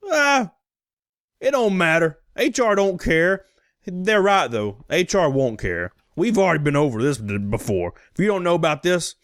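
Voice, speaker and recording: A man's voice: high-pitched, fairly bright tone, no room sound, clean studio sound. A man giving casual, blunt and sarcastic commentary as a streamer reacting to a recording.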